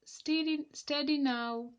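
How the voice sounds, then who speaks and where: A woman's voice: high voice, fairly even intonation, slow, muffled recording, voice pushed up. A woman voicing a cautious, practical male engine driver in a children's storybook, with a careful, matter-of-fact manner. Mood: wary.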